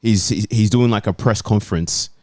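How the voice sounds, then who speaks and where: Male podcast panelist, lowish voice, close dry sound, slangy casual football storytelling. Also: normal speed, a little mellow, no room sound. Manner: informal, slangy. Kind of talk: casual anecdote telling and banter.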